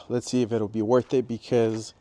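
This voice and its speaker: A man's voice: medium pitch, darkish tone. A man with a Mexican Spanish accent vlogging casually at a public charger, rambling and self-deprecating. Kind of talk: casual vlog to camera. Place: outdoors at a public car charger.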